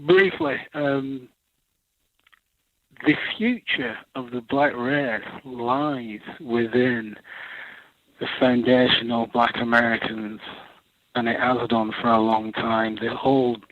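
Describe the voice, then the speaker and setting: A man from England with a Manchester accent, calling in to a radio show in a measured, earnest way. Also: mid-range voice, steady tone. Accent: English, Manchester. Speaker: caller. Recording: tinny phone line.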